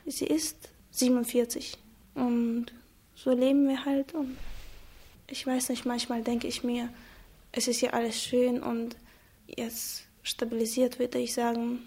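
Teen girl from chechnya, chechen is her first language, quiet and thoughtful, kinda monotone. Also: a little high, breathy edge. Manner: reflective, soft-spoken, hesitant with frequent pauses. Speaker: interviewee. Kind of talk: personal testimony.

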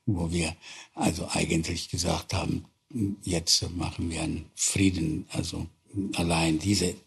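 An older man from Italy with an Italian accent, recalling his past in halting, searching speech with many restarts. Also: low voice, flat delivery, vocal fry, husky. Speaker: interviewee. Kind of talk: personal recollection.

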